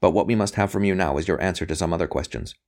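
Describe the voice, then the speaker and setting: A man's voice: deep voice, very fast, lightly acted. Relentless interrogator for a storybook, acted by a man: clipped, insistent, coolly impatient official. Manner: forceful, clipped, pressing questions.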